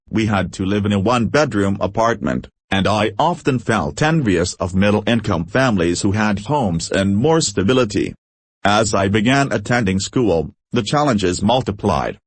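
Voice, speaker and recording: A man reading an online post aloud in steady scripted narration. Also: on the low side, no echo. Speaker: story reader.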